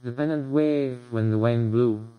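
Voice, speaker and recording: A speech synthesizer's male voice reading test sentences, robotic and measured. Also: mid-range voice, clean tone, highs cut off, close to an everyday voice. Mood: flat.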